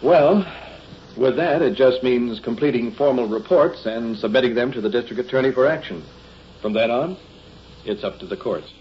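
A man's voice: mid-range voice, normal speed, slight background noise. A man reporting on the scene as a police recorder, conversational and a little hesitant. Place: police office and garage. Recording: muffled, echoey old field radio recording.

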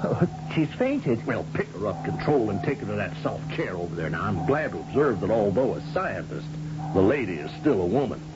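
Shrewd low-voiced space governor on old radio. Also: male voice, big pitch swings, brisk, over loud music. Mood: pressing, shrewd. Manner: folksy, probing, patronizing. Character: commanding male space colony governor, shrewd, folksy, interrogating. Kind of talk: old-time radio drama acting. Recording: dull, noisy old radio recording.

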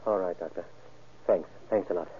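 Shaken, husky radio-drama husband. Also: male voice, sits low. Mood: subdued. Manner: halting, earnest witness account. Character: shaken, wounded husband recounting an attack. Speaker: actor in a crime radio drama. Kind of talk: old-time radio acting. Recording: muffled, band-limited vintage radio recording.

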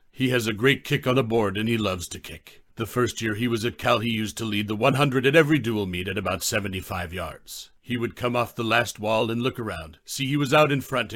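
A man's voice: on the low side, a bit bright. A man coaching swimmers, giving a folksy, rambling talk with a joke. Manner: folksy, rambling, tells jokes. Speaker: swim coach. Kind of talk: conference talk.

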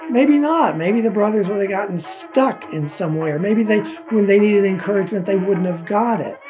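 A woman's voice: medium pitch, normal speed, music in the background, rough recording. A woman guest commentator thinking aloud in speculative, conversational remarks.

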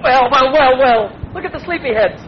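An actor playing a brash, eager young son starting work in 1940s radio comedy, with a breezy, self-important boyish manner and an American accent. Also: male voice, very high voice, normal speed. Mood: breezy, boastful. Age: young adult. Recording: muffled, noisy old radio recording.

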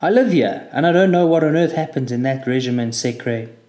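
A man's voice: mid-range voice, lively intonation, a little fast, darkish tone, lightly acted. A man voicing a chain-smoking older Frenchman with a thick French accent, musing philosophically about life. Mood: wistful. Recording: roomy echo.

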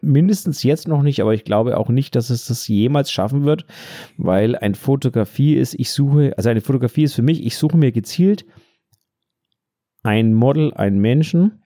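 A man's voice: mid-range voice, animated, moderate pace, dark tone, no echo, studio quality. A man in casual, unscripted podcast talk, explaining things and repeating phrases for emphasis. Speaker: podcast co-host. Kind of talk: casual unscripted podcast chat about photography.

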